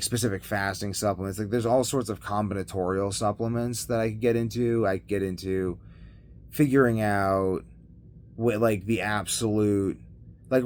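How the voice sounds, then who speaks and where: A man talking casually as a video blogger in a rambling monologue, halting and often trailing off mid-thought. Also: fairly low pitch. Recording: noticeable background noise.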